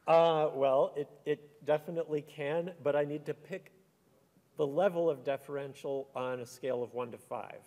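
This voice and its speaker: A man's voice: a little high, steady tone, moderate pace, warm tone. An older man from Finland, a guest at a product demo, giving brief, modest replies on a large auditorium stage. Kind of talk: casual onstage chat. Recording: long hall reverb.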